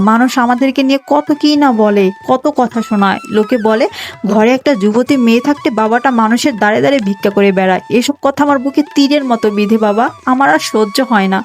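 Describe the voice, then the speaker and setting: A woman's voice: on the high side, close to an everyday voice. A woman voicing a poor, dutiful young village daughter in a cartoon story, plaintive, earnest and emotional. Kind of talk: Bengali cartoon moral-story voice acting.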